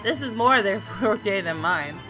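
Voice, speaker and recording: A woman hosting a gaming video, commenting on a visual novel and reading its narration aloud in an expressive, dramatic way. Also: medium pitch, unhurried. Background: game music.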